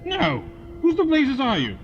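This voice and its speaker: A man's voice: very high voice, wildly swooping pitch, measured pace, exaggerated voice. A man playing a stern, suspicious army colonel in an audio drama, clipped and commanding. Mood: indignant. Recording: dull lo-fi with loud music behind.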